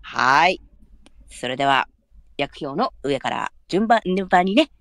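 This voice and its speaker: A woman's voice: deep voice, lively intonation, slow pace, vocal fry, a bit bright, no echo. A woman hosting a live audio drama stream in polite, chatty announcer phrasing, with frequent short affirmations.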